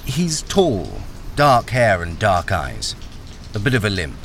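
A man's voice: fairly low pitch, wildly swooping pitch, slow, a little hiss or hum, heavily acted. An actor playing a weary, wary ex-soldier and miner who tells his own story in a radio crime drama. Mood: insistent, concerned. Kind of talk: radio crime drama, first-person narration and dialogue.

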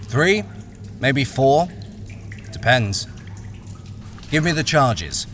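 A man's voice: mid-range voice, very animated, very slow, a bit bright, slight background noise, exaggerated voice. A man playing a wary, experienced miner, acting and narrating a radio crime thriller in a guarded, brooding way. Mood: terse. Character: wary, experienced male miner, blackmailed, narrating his ordeal.

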